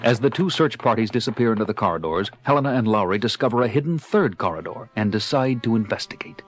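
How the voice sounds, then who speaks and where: A man's voice: fairly low pitch, expressive, fast talker. A man delivering dramatic scripted narration as the narrator of an audio drama.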